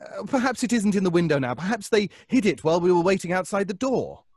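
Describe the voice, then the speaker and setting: An actor playing a nervous, obsessive neighbor in an old-time radio suspense drama, stammering and pleading. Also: male voice, high voice, sing-song, fast, darkish tone, no room sound, clean studio sound. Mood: bewildered. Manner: stammering, hesitant, breaking off.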